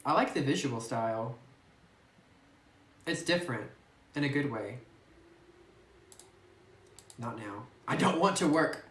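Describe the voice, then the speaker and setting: A woman's voice: a bass voice, expressive, slow pace, pauses often. Chatty woman gamer on a roomy phone mic. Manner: chatty, giggly, muses aloud while playing. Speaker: gaming YouTuber. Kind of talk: casual let's play commentary, reading game text aloud. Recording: roomy phone recording with faint hiss.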